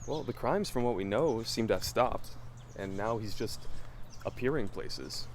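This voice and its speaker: An actor playing a curious, determined American investigator in an audio drama, questioning things in a thoughtful, earnest way. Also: male voice, medium pitch, animated, a little slow, a little hiss or hum, natural voice. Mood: puzzled, probing. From Los Angeles, United States. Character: curious, determined young male amateur investigator. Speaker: investigative podcast host. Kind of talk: naturalistic audio drama dialogue.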